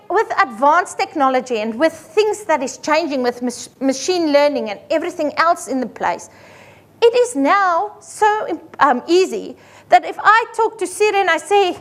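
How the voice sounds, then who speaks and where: A middle-aged woman with an Afrikaans accent, an accountant giving a lively seminar presentation full of everyday examples. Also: extremely high pitch, a little slow. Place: seminar room.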